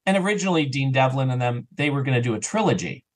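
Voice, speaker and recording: A man talking as a podcast guest in a casual, enthusiastic and animated way, slipping into imagined remarks as an audio drama creator. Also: medium pitch, quick pace. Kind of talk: casual enthusiastic interview chat.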